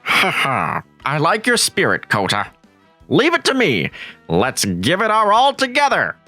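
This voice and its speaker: A cheerful male school buddy, voiced by a man in a manga dub. His tone is bright and bouncy, with lively pitch. Light music plays behind. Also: unhurried, full character voice. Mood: friendly. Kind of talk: manga voice-over acting.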